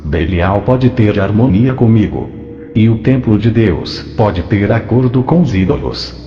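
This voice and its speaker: A man's voice: on the low side, measured pace. A man with a Brazilian accent playing God as a solemn Lord, admonishing his servant in a scripted religious testimony. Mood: solemn warning. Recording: echoey long reverb, muffled, faint music.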